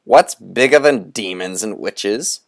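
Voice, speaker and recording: A man's voice: on the low side, animated, slow, vocal fry, dry recording, studio quality, full character voice. A man reading an audiobook, voicing a grand, theatrical old male trickster guide who declaims in a showy, sweeping way. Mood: grandly scheming.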